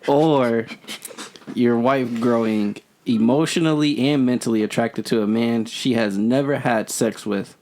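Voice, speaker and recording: A man's voice: mid-range voice, unhurried, a little mellow. Laid-back man with a mid-range voice. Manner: laid-back, laughs along, asks questions. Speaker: podcast host. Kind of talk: casual podcast chat.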